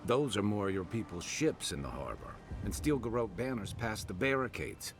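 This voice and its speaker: Wary game companion: low register, expressive pitch, straight-talking adventurer. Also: male voice, normal speed, noisy background. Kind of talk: video game cutscene dialogue.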